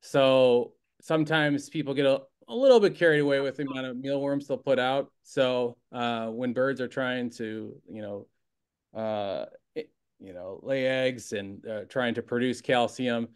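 A middle-aged man who gives bird feeding advice on a video call, measured and hesitant, with many fillers. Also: medium pitch, clear, resonant tone. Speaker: bird seed store expert. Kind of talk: casual panel chat.

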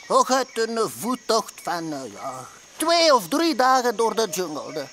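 A man's voice: high-pitched, sing-song, unhurried, a bit bright, exaggerated voice. Man doing a dithering comic-book pilot, swooping voice. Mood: apologetic. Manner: hesitant, dithering, stammering. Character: hesitant, bumbling male comic-book airline pilot. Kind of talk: comic book read aloud in character.

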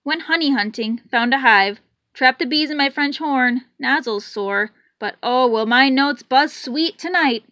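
Cheerful boastful bear read by a woman, clipped phrases, high voice, storybook. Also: a little slow, sharp tone, no echo. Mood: pleased.

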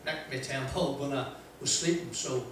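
A man's voice: mid-range voice, noisy background. An older man with a Northern Irish accent telling self-deprecating stories at a motivational talk, holding a handheld mic in a large wood-panelled room. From Northern Ireland. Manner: chatty, self-deprecating, frequent "uh" pauses and laughs. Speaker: motivational speaker. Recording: echoey hall, voice from a distance.

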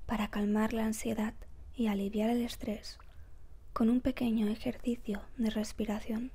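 A woman guiding a meditation in a soft, breathy, whispery voice, calm and gentle, with measured phrases and long pauses. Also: fairly high pitch. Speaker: meditation guide. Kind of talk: slow guided meditation narration.

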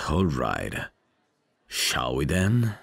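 A man acts out a suave stage magician in an ASMR roleplay. His voice is rock-bottom deep with a crackling fry, and it swings widely in melody as he plays the careful, theatrical showman. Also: very animated, fairly bright tone, reverberant, heavily acted. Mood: wary. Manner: theatrical, slow, drawn-out delivery. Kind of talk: scripted ASMR audio roleplay.

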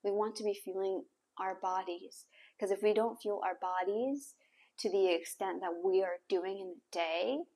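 A young woman speaks as a podcast host in a medium-pitched voice, giving casual advice. Her delivery is conversational and encouraging, halting now and then as she rephrases herself. She is recorded on a clip-on mic in a small room. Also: warm tone, slightly rough. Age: young adult. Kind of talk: casual advice talk to camera. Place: small bare room indoors with hard floor. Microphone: clip-on lapel mic.

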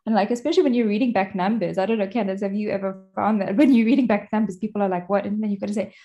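A woman's voice: mid-range voice, steady tone, racing, mellow, muffled recording. A woman interviewing a guest in a casual chat, thinking her points through aloud.

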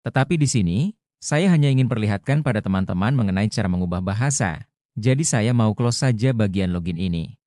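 A man's voice: fairly low pitch, lively intonation, a little fast, darkish tone, no echo, clean studio sound. A man narrating an instructional screen-recording tutorial in a methodical, step-by-step manner. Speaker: tutorial host.

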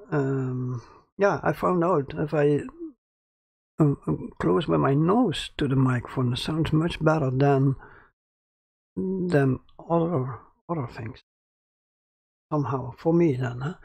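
A man's voice: fairly high pitch, darkish tone, dry recording. A man hosting a casual audio tutorial in a small home studio, with a crackly voice and a hesitant way of talking full of fillers and restarts. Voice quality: crackly, gravelly voice. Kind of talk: casual screen-recorded tutorial. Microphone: close studio mic about ten centimeters away.

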